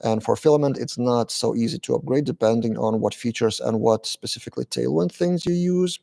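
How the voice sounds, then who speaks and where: A man hosts a live-stream Q&A, reading viewers' questions aloud and answering them briefly. His voice is dark and gravelly, with frequent "uh" pauses between the questions and his answers. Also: medium pitch. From Lithuania. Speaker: channel host answering live chat.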